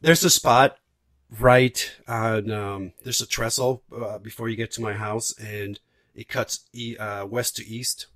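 Guy in his 40s from puerto rico, podcast host, animated casual talk, mid voice, close dry mic. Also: medium pitch, unhurried, no room sound. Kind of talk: casual chat with swearing. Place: home room, on a video call. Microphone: large desk microphone, close.

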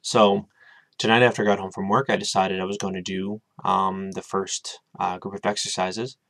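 A man's voice: deep voice, level tone, normal speed, gravelly, fairly bright tone. A young man in his twenties giving a casual, rambling video diary at his webcam, with lots of hesitant ums. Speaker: vlogger. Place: small room with bookshelves, indoors.

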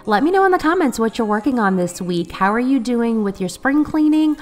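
A woman's voice: on the high side, lively intonation, brisk, darkish tone. A woman in her thirties narrating her cleaning vlog in a friendly, upbeat way while getting over a cold. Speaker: cleaning vlogger.

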